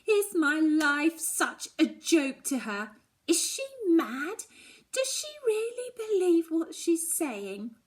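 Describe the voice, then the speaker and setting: A woman's voice: sits high, very animated, slow pace, voice pushed up, exaggerated voice. A woman voicing an angry, hurt schoolgirl in a storybook, with an impassioned, emphatic delivery. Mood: distressed, insistent. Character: angry, hurt schoolgirl narrator, confronting her mother.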